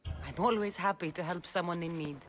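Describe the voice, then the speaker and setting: A woman's voice: lowish voice, brisk, faint music behind, lightly acted. A woman playing a proud mercenary woman in a video game, with blunt, dry, clipped replies. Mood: dry, businesslike. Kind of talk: video game cutscene acting.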